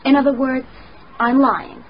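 An actress playing a bold young heiress in a radio mystery, insistent and intense. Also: female voice, high voice, little pitch movement, a little slow. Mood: defiant. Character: bold, defiant young heiress caught under suspicion. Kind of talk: dramatic radio acting. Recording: dull, faintly noisy radio recording.

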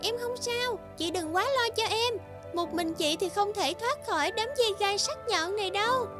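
A woman's voice: very high voice, moderate pace, music in the background. A woman voicing a small, kind, polite young morning glory flower in a children's cartoon fairy tale. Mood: earnest. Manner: polite, sweet, exclamatory.

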